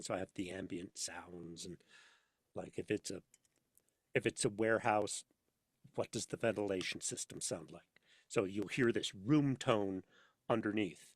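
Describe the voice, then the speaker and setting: A man chatting casually as an interview guest, telling anecdotes in an animated way and acting out bits of dialogue. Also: lowish voice, no echo. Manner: animated storyteller, acts out imagined dialogue. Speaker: podcast guest, sound designer for audio dramas. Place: inside a studio.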